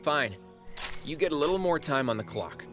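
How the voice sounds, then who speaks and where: A man's voice: fairly high pitch, expressive, normal speed, music in the background, natural voice. A man acting in an audio drama as a flippant, sardonic minor god who banters casually and wheedles. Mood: grudging, wary. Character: flippant, fast-talking minor trickster god, lately a frog.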